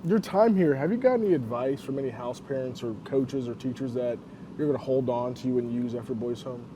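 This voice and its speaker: A man's voice: mid-range voice, a little fast, background noise. A man interviewing students in a casual, playful way.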